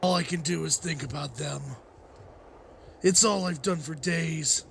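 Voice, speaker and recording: An actor playing an anguished, protective father in a motion comic drama, husky and emotionally intense. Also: fairly high pitch, unhurried, fairly bright tone, slight background noise. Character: anguished, protective father leading zombie-apocalypse survivors.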